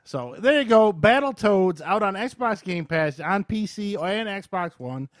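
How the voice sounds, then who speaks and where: Guy w a bit of a high voice doing casual game review on a podcast, slangy, super dry sound. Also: expressive, unhurried, no room sound. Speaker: podcast host reviewing video games.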